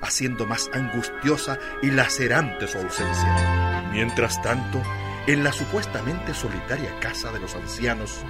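A man's voice: medium pitch, expressive, unhurried, natural voice. A man narrating a radio drama as a solemn, florid storyteller. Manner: solemn, literary. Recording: loud music under narration.

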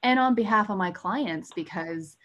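A woman in her thirties with an American accent, speaking in an animated, informal way as a guest in a casual interview. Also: mid-range voice, normal speed. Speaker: podcast guest, sales coach.

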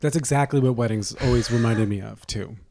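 A podcast co-host in casual chat who keeps interjecting with sarcastic, crude jokes. The voice is mellow and dark in tone. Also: medium pitch, fairly quick, dry recording.

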